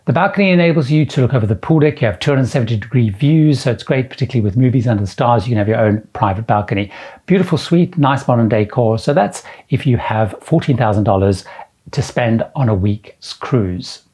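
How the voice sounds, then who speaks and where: A man's voice: mid-range voice, lively intonation, brisk, darkish tone, breathy edge. A middle-aged man presenting to camera, enthusiastically listing features with repeated superlatives. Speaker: cruise travel vlogger. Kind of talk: presenting to camera, touring suites. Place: indoor room with framed ship posters. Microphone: lapel mic, camera framed at chest.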